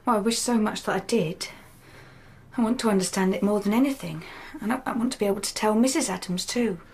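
Timid young English housemaid. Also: female voice, medium pitch, quick pace, breathy edge. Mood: grieving, earnest. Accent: working-class English. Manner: hesitant, apologetic, deferential. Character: timid, earnest young housemaid, soft-hearted and deferential. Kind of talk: radio drama acting.

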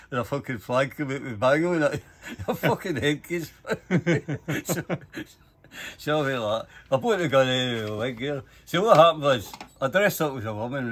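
A man's voice: medium pitch, slight background noise. An older man with a Scottish accent telling casual anecdotes, swearing freely and acting out the dialogue as he goes. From Scotland. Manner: animated, sweary, acts out dialogue. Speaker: storyteller.

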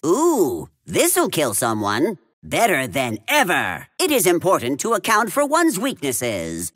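A man's voice: sits high, sharp tone, no echo, studio quality, full character voice. A male actor voicing a cocky little keenfolk tinker, a gleeful mad inventor for a video game, with a smug, theatrical, scheming manner. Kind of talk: video game character voice lines.

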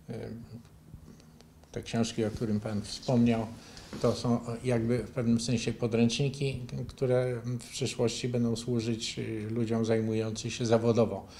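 Older man explaining things in measured speech. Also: mid-range voice, warm tone, slight background noise. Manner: measured, didactic. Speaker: interview guest, bioenergy therapy teacher and author. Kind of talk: explanatory interview answers. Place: furnished living room, indoors.

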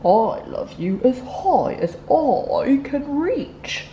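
A big, tender grown-up hare from a picture book, read by a woman, patient and playful. The words are stretched out slowly, with plenty of rise and fall. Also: high voice, big pitch swings, warm tone, slight background noise, voice pushed up, heavily acted, low-quality recording. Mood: playful, loving.

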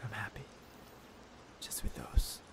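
Man acting a very slow, hushed obsessive young lover. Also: whispered, natural voice. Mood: tender, devoted. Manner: hushed, halting, intimate, with long pauses. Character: obsessive, tender yandere young man watching his sleeping beloved. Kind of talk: ASMR roleplay. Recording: clear background noise.

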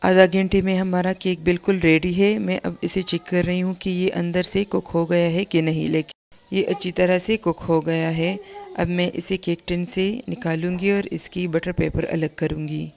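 A young woman host giving a calm, step-by-step recipe voice-over for her home cooking channel. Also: on the low side, normal speed, creaky. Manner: calm, instructive, repeats steps plainly.

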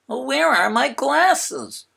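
A man voicing a fussy, earnest little sign painter in a children's storybook, with drawn-out exclamations. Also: very high-pitched, gravelly, pushed and bright, pitched above the performer's own voice, full character voice. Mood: puzzled, fretful.

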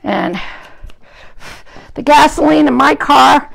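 A hoarse woman groomer with a gravelly voice, chatting to live-stream viewers while trimming a dog in a grooming salon, recorded on a phone. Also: high voice, expressive, takes it slowly, a bit bright. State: hoarse from an illness. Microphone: phone camera propped at the grooming table.

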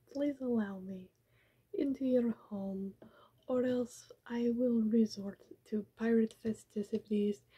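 A woman whispering as a vampire dressed as a pirate, making deadpan threats. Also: fairly high pitch, lo-fi sound, heavily acted. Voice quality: breathy whisper. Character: vampire posing as a vicious pirate. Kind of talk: whispered ASMR roleplay.

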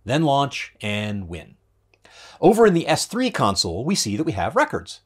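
A man's voice: medium pitch, huge pitch swings, normal speed, slightly rough. A man in his forties gives a scripted tech demo with wry, playful asides, speaking to camera from a home study. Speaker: developer advocate presenting a product demo. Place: home study with bookshelves, indoors.

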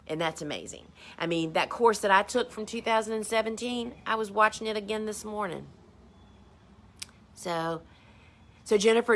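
A woman's voice: medium pitch, fairly bright tone. A woman, hosting a casual live stream and answering viewer questions in a chatty, rambling, self-deprecating way. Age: 50s. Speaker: host.

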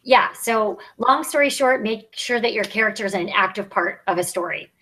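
A woman around her thirties or forties speaks in a medium-pitched voice. She gives advice conversationally, like a teacher, over a video call from a small room. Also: moderate pace, a bit bright, poor audio. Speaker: podcast co-host, writing teacher and editor. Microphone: earbud headset on video call.

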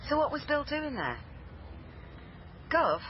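A woman's voice: sits high, big pitch swings, slow, full character voice. An actress playing a dogged, sceptical female police officer in a naturalistic radio crime drama, with a probing, dry manner. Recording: muffled broadcast with faint noise.

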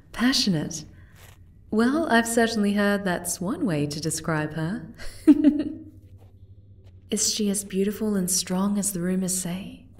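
A woman voicing a gentle, timid, caring young moth girl in an ASMR roleplay, soft-spoken and hesitant. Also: mid-range voice, expressive, takes it slowly, pauses often. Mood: warm, curious.

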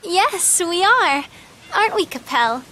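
A woman playing a sweet, earnest, lovestruck teenage girl in a video game, gentle yet forthright. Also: extremely high pitch, sing-song, slow pace, a little hiss or hum, heavily acted. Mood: pointedly cheerful. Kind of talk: video game cutscene acting.

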